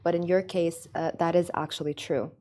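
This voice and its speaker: Low-voiced woman hosting an interview. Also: steady tone, moderate pace, mellow. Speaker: podcast host, interviewer. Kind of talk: conversational business interview.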